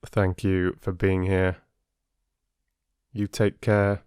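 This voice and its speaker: A man reading aloud as an audiobook host, with an expressive, measured storytelling manner. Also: sits low, smooth, no echo, clean studio sound. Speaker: audiobook reader and channel host. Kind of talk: children's story narration.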